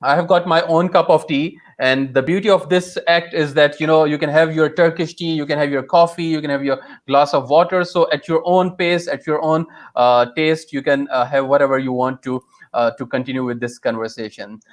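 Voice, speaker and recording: A man from Pakistan hosting a live webinar over a video call, hesitant with many fillers and quick to exclaim with enthusiasm. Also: on the high side, fairly even intonation. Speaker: webinar host.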